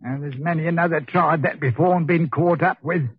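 A sickly working-class Cockney prisoner in an old radio thriller. His voice is high for a man and badly husky, full of slangy, needling chatter. The recording is narrow and tinny, like a telephone line. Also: brisk, no room sound. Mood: bitter, rueful. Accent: Cockney English. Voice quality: husky, rough. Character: coarse, hot-tempered, sickly working-class male convict. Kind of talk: 1940s radio acting. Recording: muffled phone-like 1940s radio recording.

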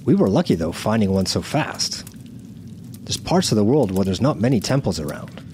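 A man playing a seasoned, weary gamer who narrates a survival adventure as a scripted first-person audio drama, in a measured, intense way. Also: fairly low pitch, sing-song, darkish tone, a little hiss or hum. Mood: reassuring, explaining. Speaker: narrator and lead character.

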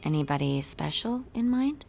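A troubled, stubborn young female artist in an audio drama. Her voice sits fairly low, wry and direct, with faint music behind it. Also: sing-song, normal speed, vocal fry, a little mellow, heavily acted. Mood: intimate, uneasy. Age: young adult. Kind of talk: intimate audio drama acting.

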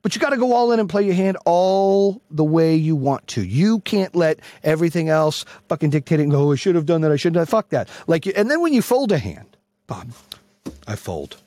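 A man giving a brash, profane, emphatic motivational monologue in a husky voice, repeating phrases for punch. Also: on the high side, very animated, brisk, dark tone, no room sound. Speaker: motivational speaker and host.

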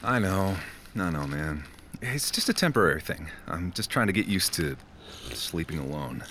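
A man's voice: low voice, animated, moderate pace, a bit bright, slight background noise. An actor playing a heartbroken, awkward night-shift worker in his twenties, downcast and hesitant, in a naturalistic audio drama. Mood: glum, reluctant. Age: 20s.

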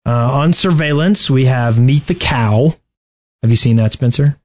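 A man's voice: mid-range voice, a little slow, darkish tone. A man co-hosting a gaming podcast, bantering casually in a playful, tongue-in-cheek manner. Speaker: podcast co-host.

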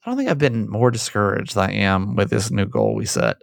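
A man's voice: fairly low pitch, wildly swooping pitch, brisk, creaky, no room sound, studio quality. A man in his late thirties hosting a podcast, chatting candidly in a rambling way that keeps correcting itself. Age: 30s. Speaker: podcast host. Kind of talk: casual chat with ad reads.

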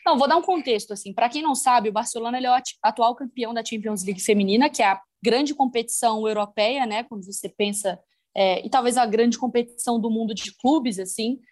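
A woman's voice: on the high side, fast, a little mellow. A woman from Brazil, a guest on a podcast, telling a story in casual chat with many fillers.

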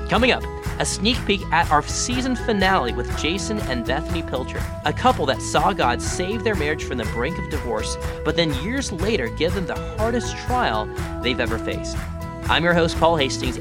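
A man speaking as a podcast host, both chatting with a guest and reading scripted announcements. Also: medium pitch. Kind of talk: interview and scripted outro narration.

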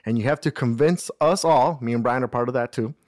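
A man hosting and setting up an improv game, asking questions in a lively way. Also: medium pitch, a little fast, no echo, clean studio sound. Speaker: host. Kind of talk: casual chat.

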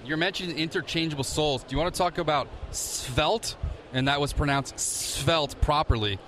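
Chatty man interviewing, slightly high. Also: a bit bright, a little hiss or hum. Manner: friendly, chatty, quick questions. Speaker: interviewer and podcast host. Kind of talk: casual interview.

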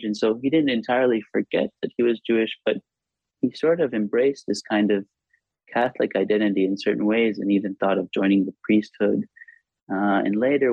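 Low gravelly-voiced man, halting and thoughtful. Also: a little mellow, no room sound. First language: Hebrew. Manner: halting, thoughtful, frequent restarts. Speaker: author being interviewed. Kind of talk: reflective interview talk. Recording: dull lo-fi recording.

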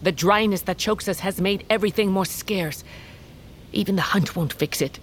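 An actress playing a stern, burdened queen of a wolf tribe in an audio drama, speaking in a grave, measured, formal way. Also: mid-range voice, slight background noise, lightly acted. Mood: grave, fearful. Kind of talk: audio fiction drama acting.

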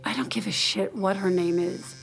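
A woman's voice: medium pitch, little pitch movement, normal speed, creaky, over loud music, close to an everyday voice. A woman playing a guarded, frustrated wife in a naturalistic audio drama, clipped and blunt. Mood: irritated. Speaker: actor in scripted audio drama.

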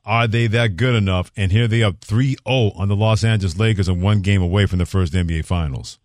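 A man's voice: fairly low pitch, a little fast, no room sound, studio quality. A man co-hosting sports radio with conversational commentary and questions for a guest.

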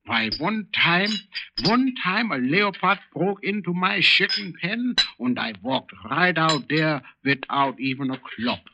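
A chatty old farmer, lively sing-song pitch, folksy rambling, muffled noisy old radio recording. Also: male voice, unhurried. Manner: rambling, folksy, repeats himself. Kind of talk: old-time radio drama acting.

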